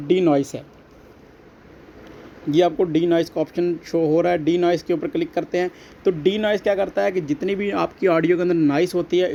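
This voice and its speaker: A man's voice: fairly high pitch, creaky, darkish tone. A man with a Pakistani accent narrating a step-by-step app tutorial, explaining repetitively and addressing viewers as friends. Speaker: tutorial host. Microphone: voice-over recorded alongside phone screen recording. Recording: dull lo-fi audio, faint background noise.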